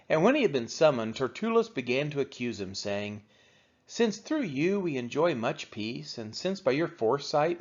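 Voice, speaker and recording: A man reads scripture aloud in a slightly high voice. His intonation rises and falls widely, with measured, deliberate oratorical phrasing. The recording sounds a little dull and lo-fi. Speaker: scripture reader of a daily read-along. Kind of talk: scripted Bible reading, narration.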